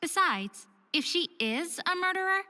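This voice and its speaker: A woman's voice: very high-pitched, sing-song, moderate pace, sharp tone, long reverb tail, heavily acted. A woman playing an earnest, stubborn and curious young woman of faerie descent in a visual novel game. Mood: musing. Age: young adult. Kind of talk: visual novel voice acting with inner monologue.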